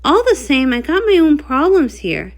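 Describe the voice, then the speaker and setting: A woman's voice: sits high, sing-song, moderate pace. A woman voicing a confident, plain-spoken mare who heals in the swamp, with a folksy manner, in a comic read-along. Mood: weary, worried.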